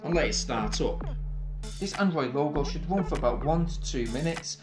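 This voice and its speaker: An English man narrates a hands-on tech tutorial in a friendly, chatty voice that sits a little high. He moves through each step plainly and upbeat. Music plays clearly beneath him. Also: lively intonation, moderate pace. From England. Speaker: tech video host. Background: background music.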